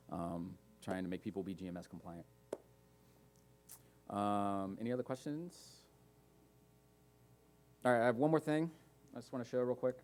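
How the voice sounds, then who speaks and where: A man speaking at a developer conference, talking casually about speech recognition and taking audience questions, with many fillers and asides. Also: sits low. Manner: relaxed, lots of fillers and asides. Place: conference room.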